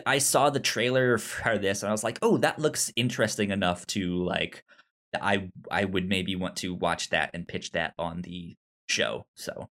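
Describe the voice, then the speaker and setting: A man co-hosting a casual review podcast, talking in a halting way with some stammering. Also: lowish voice, fairly bright tone. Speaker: podcast co-host.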